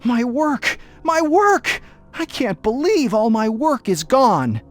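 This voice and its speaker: A put-upon father, speaks with hugely varied pitch. He is mild, weary and reluctant, a droll and distracted video game dad, with quiet music in the background. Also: sing-song, takes it slowly, full character voice. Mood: dismayed. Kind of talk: video game cutscene voice acting with tutorial instructions.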